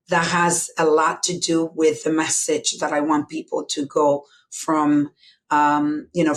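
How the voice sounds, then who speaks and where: A woman's voice: sits low, a little hiss or hum. A middle-aged woman from the Dominican Republic with a Dominican Spanish accent, a guest telling her life story openly and reflectively, with many fillers. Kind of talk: candid personal storytelling.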